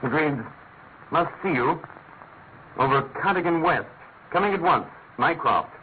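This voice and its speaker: Theatrical detective, swooping and tinny. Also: male voice, fairly high pitch, animated, a little slow. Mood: amused, expounding. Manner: articulate, declamatory, musing. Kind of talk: classic British radio drama acting. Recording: tinny narrow-band radio recording with hiss.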